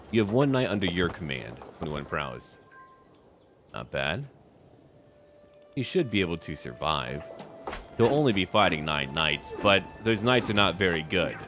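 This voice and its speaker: A man's voice: low-pitched, fairly bright tone. A man giving casual game commentary with light role-play, musing aloud in short, clipped remarks. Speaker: gaming YouTuber. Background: background music.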